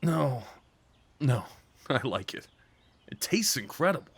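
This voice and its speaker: A man acting a big, sweet, slightly nervous date in an ASMR roleplay, with playful teasing, boyish enthusiasm and soft hesitations. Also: mid-range voice, big pitch swings, takes it slowly, breathy edge, dry recording, exaggerated voice. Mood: shyly playful. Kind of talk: ASMR roleplay audio drama, one-sided conversation.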